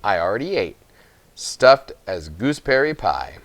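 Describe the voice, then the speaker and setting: A man voicing a frail old grandmother in a storybook, comforting and gently brisk, with plain homely sayings. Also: lowish voice, sing-song, full character voice. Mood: reassuring. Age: older.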